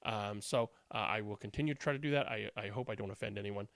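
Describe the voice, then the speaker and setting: A man's voice: lowish voice, fast talker, fairly bright tone, no room sound, clean studio sound. A man hosting a read-aloud, reading picture books expressively and commenting informally. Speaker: read-aloud host and storyteller.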